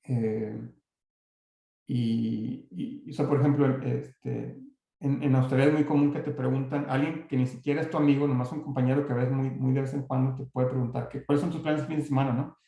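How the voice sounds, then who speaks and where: Gravelly Mexican man in an echoey room. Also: mid-range voice, fairly even intonation, moderate pace, mellow. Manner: hesitant, many restarts and fillers. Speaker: guest speaker. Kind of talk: online talk answering audience questions.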